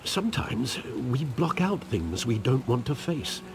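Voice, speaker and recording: An actor playing an elderly, loyal and remorseful butler, speaking formally and earnestly in a dramatic video game. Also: male voice, medium pitch, big pitch swings, a little slow, creaky, background noise. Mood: somber, reflective. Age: older.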